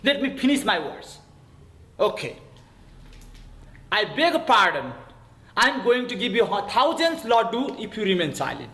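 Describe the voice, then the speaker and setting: A man with a Nepali accent teaches an English lesson over a video call, his voice high and bouncing all over the place. He spells words out letter by letter and checks in again and again. The sound is roomy, with echo around him. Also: big pitch swings, a little slow, long pauses, pushed and bright. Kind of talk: online classroom lesson.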